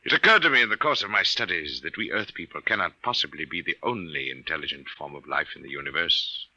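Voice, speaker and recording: A man's voice: very deep voice, expressive, fast, pushed and bright, no room sound, lo-fi sound, heavily acted. An actor in a 1950s radio drama playing a formal, precise, deadly serious professorial man. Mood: intense, earnest. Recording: vintage 1950s radio recording.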